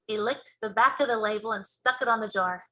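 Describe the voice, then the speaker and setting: Female storybook narrator, teacher reading to children: expressive delivery, bright tone, pitched a little high. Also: fairly quick, low-quality recording.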